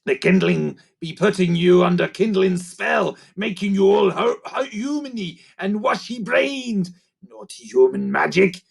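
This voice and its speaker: A man voicing Grunt, a grumpy male creaker creature who hisses and barks in broken grammar. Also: sits high, lively intonation, unhurried, voice pushed up, exaggerated voice. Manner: hissing, barking, broken grammar.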